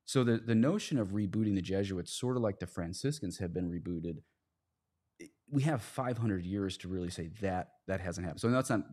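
A man talking through his points in a casual podcast discussion, often halting and correcting himself as he explains. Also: deep voice, a little mellow. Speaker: priest and podcast guest.

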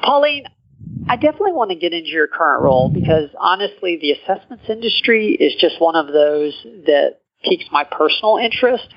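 Upbeat podcast host, gravelly and husky. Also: male voice, on the high side, very animated, a little fast. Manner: upbeat, welcoming interviewer. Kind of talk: interview podcast. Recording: muffled lo-fi audio with faint music.

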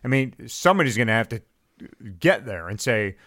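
A man's voice: mid-range voice, lively intonation, brisk, fairly bright tone, no echo, clean studio sound. A man co-hosting a casual podcast, thinking aloud and posing questions.

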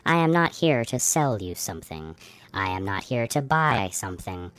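Very deep synthetic robot. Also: female voice, normal speed. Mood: formal, apologetic. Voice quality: synthetic, flat. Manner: formal, stilted politeness. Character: small polite robot with cheap synthesized voice. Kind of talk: comedic sitcom-style audio story.

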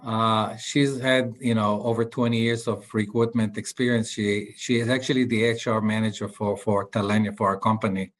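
A middle-aged man with an Israeli accent, a guest entrepreneur speaking haltingly with many filler sounds in a podcast interview. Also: lowish voice, level tone.

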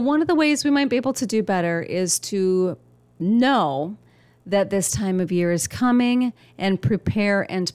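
A woman hosting her own podcast, speaking in an earnest, encouraging solo monologue, her voice a little weak and nasal. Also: fairly low pitch. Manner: earnest, warm encouragement with repeated phrases. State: slightly weak, nasal voice from illness. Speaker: podcast host.

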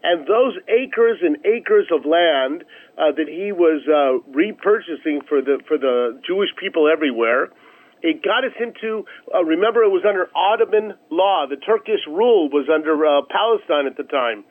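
A man answering as an executive guest in a radio interview, halting at times and repeating phrases for emphasis. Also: on the high side, lively intonation. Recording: narrow, tinny phone line.

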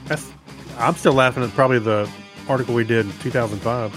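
A man's voice: medium pitch, fast, fairly bright tone, faint music behind. A middle-aged man co-hosting in casual chat, adding easygoing, jokey asides. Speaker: podcast co-host.

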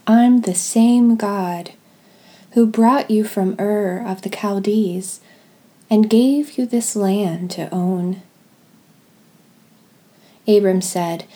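A woman leading a guided devotional reading in a gentle, deliberate way, pausing between short phrases. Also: mid-range voice. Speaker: podcast host leading guided prayer and scripture reading.

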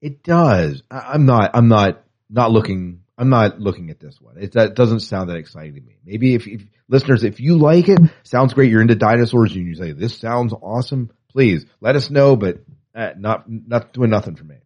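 A man's voice: lowish voice, expressive, moderate pace, darkish tone. A man co-hosting a podcast in casual, opinionated chat with wry asides, reading and commenting on news. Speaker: podcast co-host.

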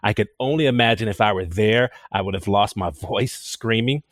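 A man speaking as a guest in a casual roundtable, enthusiastic and halting, with many restarts. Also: lowish voice, no echo, clean studio sound. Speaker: panel guest.

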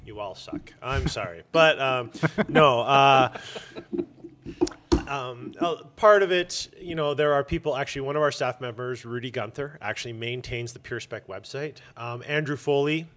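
A man's voice: medium pitch, moderate pace, a bit bright. A man chatting casually as an interview guest and organizer, with a blunt, sarcastic, joking manner. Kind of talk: casual chat.

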